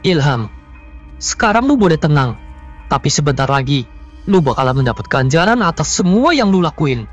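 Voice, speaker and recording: A man plays a bold young-sounding schemer in a cartoon, out for revenge. His slightly high voice swings widely with menace and drama. The sound is dull and lo-fi with music behind it. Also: very animated, moderate pace. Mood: vengeful. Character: vengeful hotel worker, bold man plotting in secret. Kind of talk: animated horror-comedy voice acting.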